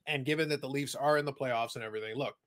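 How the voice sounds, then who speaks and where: A man co-hosting a casual podcast, talking quickly about trading cards with dry jokes, on a video call. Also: medium pitch, a bit bright. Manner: quick, chatty, dry joking. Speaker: podcast co-host.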